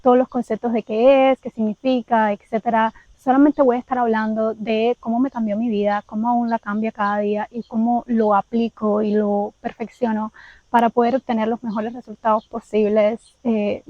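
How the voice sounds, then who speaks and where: Cuban woman vlogger, candid and reflective, slightly raised pitch, dry close sound. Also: level tone, normal speed, no room sound, dull audio. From Cuba. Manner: candid, reflective, speaks directly to viewers. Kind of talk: personal vlog storytelling.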